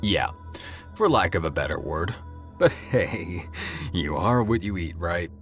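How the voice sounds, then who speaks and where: A man's voice: low-pitched, animated, unhurried, fairly bright tone, faint music behind. A man playing a cocky, crude, flamboyant antihero god in an audio drama, swaggering, sarcastic and teasing. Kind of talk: audio drama character acting.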